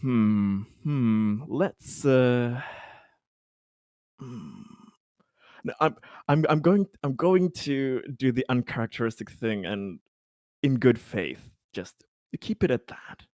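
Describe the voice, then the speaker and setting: A man playing a tabletop roleplaying game, speaking in improvised dialogue with hesitant, deliberate phrasing. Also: mid-range voice, lively intonation, a little mellow, no echo, studio quality.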